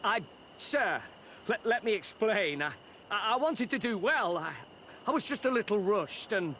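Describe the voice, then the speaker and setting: A man's voice: high-pitched, lively intonation, a little slow, sharp tone, a little hiss or hum, heavily acted. An actor playing a nervous, frightened male informant who stammers and pleads, in a video game. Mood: terrified.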